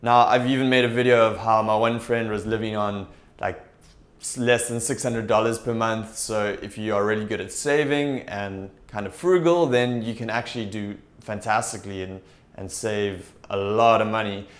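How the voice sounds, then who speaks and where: A young man talking straight to camera in a small room, explaining things in a steady, upbeat way. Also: fairly low pitch, normal speed. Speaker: TEFL recruiter and vlogger. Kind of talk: direct-to-camera advice talk. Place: small indoor room with shelves.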